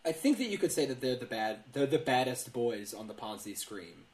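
A man's voice: mid-range voice, big pitch swings, brisk, a crowd in the background. Wry man podcasting from an echoey room. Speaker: podcast host.

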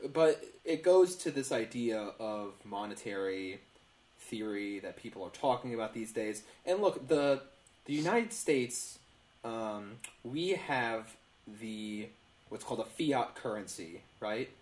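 A man co-hosting a comedy news podcast, explaining things casually with pauses in mid-phrase. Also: lowish voice, expressive, a little mellow, rough recording. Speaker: podcast co-host.

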